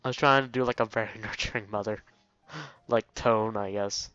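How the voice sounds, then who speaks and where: A man's voice: lowish voice, fairly even intonation, moderate pace, fairly bright tone, no room sound, highs cut off. A man hosting a let's play, reading a visual novel aloud and breaking off for wry, mocking commentary. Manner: wry, mocking asides to the game.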